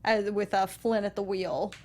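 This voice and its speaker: A woman's voice: mid-range voice, steady tone, moderate pace, a little mellow. A woman co-hosting a film review show in casual, conversational chat.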